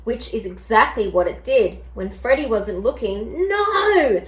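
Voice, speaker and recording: A woman storyteller reading a children's picture book aloud in an expressive style. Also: medium pitch, normal speed.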